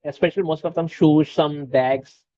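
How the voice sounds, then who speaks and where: Halting Telugu-speaking man, fairly high voice. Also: fairly even intonation, warm tone, dry recording. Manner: halting, many fillers and restarts. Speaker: conversation guest. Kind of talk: casual conversation. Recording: dull lo-fi sound.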